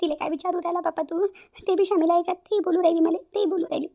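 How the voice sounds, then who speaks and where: A woman's voice: very high-pitched, steady tone, quick pace, warm tone, a little hiss or hum. A woman voicing a melodramatic, self-pitying older mother-in-law in a cartoon family drama, pleading and repeating her complaints in a wail. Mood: tearful complaining. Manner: wailing, pleading, repetitive.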